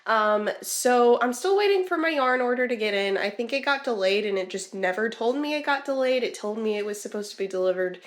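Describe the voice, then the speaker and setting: A woman, chatty and relaxed, giving crochet project updates in a vlog; she sounds congested and a little nasal from a sinus infection. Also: a little high, fairly quick, few pauses. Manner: chatty, relaxed, many ums and restarts. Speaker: crochet vlogger. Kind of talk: casual vlog chat.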